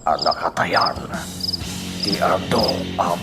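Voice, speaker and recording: A man's voice: low voice, takes it slowly, over loud music. A man playing a menacing crime boss in a radio drama, stern and commanding, with a Filipino accent. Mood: angry, brooding. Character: menacing male crime boss running illegal rackets.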